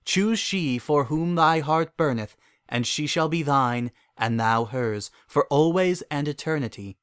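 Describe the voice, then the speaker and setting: A man's voice: on the high side, animated, measured pace, smooth, dry recording, studio quality. Man voicing a stately goddess of love. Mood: grand, tempting. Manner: stately, formal, archaic phrasing. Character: regal, confident goddess of love, archaic and grand.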